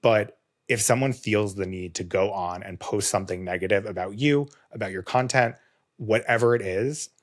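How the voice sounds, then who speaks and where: A man hosting a podcast in casual conversation, his voice slightly hoarse with a touch of vocal fry, earnest and reflective. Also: fairly low pitch, moderate pace. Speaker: podcast host.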